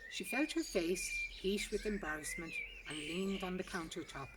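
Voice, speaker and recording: A woman's voice: lowish voice, normal speed. Distant-miked woman reading a story outdoors. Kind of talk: scripted narration of a short story. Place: outdoors in a garden. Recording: distant mic, outdoor noise, birdsong. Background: birdsong and outdoor ambience.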